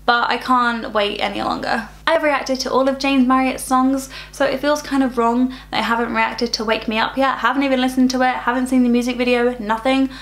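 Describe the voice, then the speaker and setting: A woman's voice: sits high, fairly even intonation, brisk, few pauses. A woman in her twenties hosting a reaction channel, chatting casually and upbeat while sick and slightly nasal. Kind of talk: casual music reaction chat. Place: small bright room with plants.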